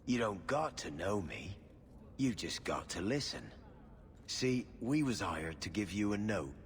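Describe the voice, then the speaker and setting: A man's voice: lowish voice, big pitch swings, a little slow, slight background noise. An actor playing a cocky, impatient male thug in a fantasy game, slangy and threatening. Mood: menacing. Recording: long reverb, clear background ambience.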